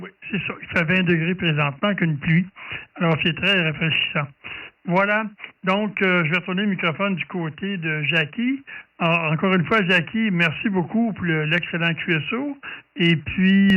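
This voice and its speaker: Quebec man on a dull, distant radio. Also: a little high. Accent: Quebec French. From Canada. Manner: friendly, chatty. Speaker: amateur radio operator. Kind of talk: casual ham radio chat.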